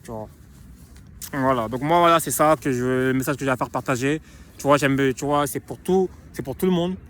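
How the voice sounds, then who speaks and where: A man's voice: mid-range voice, moderate pace, a bit bright. Rambling slangy young Frenchman. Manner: slangy and rambling, many restarts and repeated phrases. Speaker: YouTuber promoting his book. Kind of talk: casual vlog monologue.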